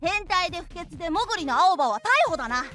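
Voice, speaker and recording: A cheeky anime little boy with a very high voice. The delivery is brash and mocking, jumping around in pitch, over loud game music. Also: very animated, rapid-fire. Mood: cocky. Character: bratty, cocky little boy. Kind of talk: Japanese visual novel voice acting.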